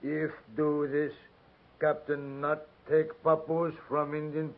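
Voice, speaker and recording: Proud guarded native chief, slow broken english, old muffled radio western sound. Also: male voice, fairly high pitch, completely flat delivery. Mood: stoic. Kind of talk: 1950s radio western acting. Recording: muffled 1950s radio recording.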